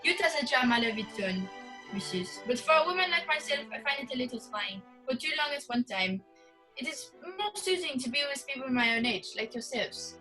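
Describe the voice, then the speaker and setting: A haughty society adventuress voiced by a woman in a radio play, flirtatious and imperious. Her voice is high and sharp, with very lively swings of pitch, over music. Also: a little fast, poor audio. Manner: theatrical, haughty, hesitant searching for English words.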